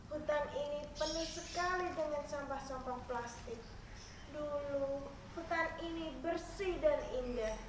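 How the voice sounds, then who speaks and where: A woman voicing a pleading old grandmother in a folk tale, speaking slowly and haltingly in an imploring way. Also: sits high. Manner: slow, halting, imploring delivery. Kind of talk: dramatized fairy-tale reading. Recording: roomy, echoing, distant, noisy recording.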